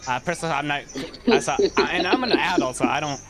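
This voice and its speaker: A man's voice: fairly high pitch, animated, quick pace, over a noisy crowd. A man in his twenties arguing over game voice chat, repeating himself and stammering when pressed. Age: 20s. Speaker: video creator calling out another player. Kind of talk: heated voice chat argument.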